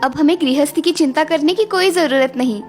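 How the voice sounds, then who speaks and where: A woman acts a young cartoon wife with a high, sweet voice. She is gentle, dutiful and determined, speaking earnestly and dramatically. Music runs underneath. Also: a little fast, natural voice. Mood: reassuring, happy. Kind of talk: Hindi moral-story cartoon dubbing.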